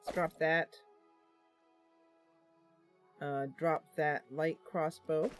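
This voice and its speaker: A woman's voice: low-pitched, fairly even intonation, drawn-out words, faint music behind. A woman hosting a casual let's-play, thinking aloud through the game with frequent ums and talking directly to her viewers. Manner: playful, addresses viewers directly. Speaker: gaming channel host.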